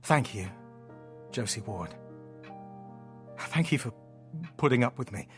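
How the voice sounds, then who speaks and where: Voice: medium pitch, animated, takes it slowly, faint music behind. An actor in an audio drama playing a theatrical, playful storyteller friend with hammy flourishes and sheepish, affectionate asides. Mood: tender, apologetic.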